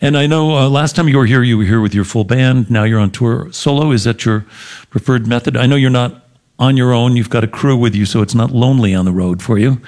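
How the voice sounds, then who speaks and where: A man's voice: medium pitch, a little fast, a little mellow. A man hosting a music interview, putting questions to his guest in a conversational style. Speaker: interviewer, host.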